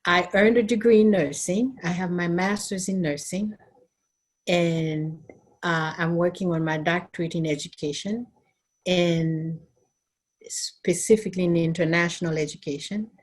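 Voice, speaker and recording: A middle-aged Haitian woman who is a nurse and educator, telling her story in an earnest, thoughtful way with pauses and fillers. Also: lowish voice, fairly even intonation. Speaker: nurse and nursing educator, interviewee. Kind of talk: recorded interview, personal recollection.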